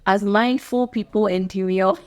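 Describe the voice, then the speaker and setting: A woman's voice: mid-range voice, steady tone, unhurried. Earnest Ghanaian woman talking to camera. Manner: earnest, repeats herself, laughs between points. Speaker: channel host. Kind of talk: unscripted talk to camera.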